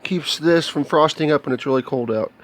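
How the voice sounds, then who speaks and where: An American man with a slightly higher-than-average voice gives an unscripted show-and-tell about electronics. He explains things plainly and casually, often halting as he works through the details. He is recorded indoors in a home workshop on a handheld camera. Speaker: hobbyist video maker showing electronics.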